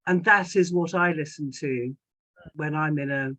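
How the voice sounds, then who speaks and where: A woman speaking as a panel guest, recalling personal memories in a measured, articulate way. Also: deep voice, fairly bright tone. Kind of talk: reflective personal recollection.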